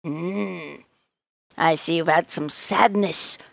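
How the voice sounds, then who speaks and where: A woman's voice: on the low side, slow pace, vocal fry, dry recording, muffled recording, voice pushed down, exaggerated voice. A woman playing an eccentric, brusque old woman who tells fortunes in a storybook, with theatrical, mysterious pronouncements. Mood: mystical.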